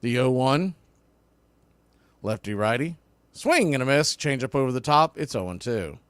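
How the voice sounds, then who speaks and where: A man's voice: mid-range voice, very animated, moderate pace, a bit bright, clean tone, no room sound. A man doing live baseball play-by-play in clipped phrases, with a folksy, partisan enthusiasm for the home team. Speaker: baseball play-by-play announcer for a fan stream. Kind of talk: live sports play-by-play commentary.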